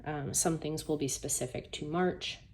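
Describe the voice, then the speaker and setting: A woman with a hoarse, gravelly voice chatting casually at her desk about her planners, rambling through self-deprecating asides. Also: deep voice, fairly even intonation, moderate pace, a little mellow, a little hiss or hum. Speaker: planner YouTuber. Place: home desk by a sunny window.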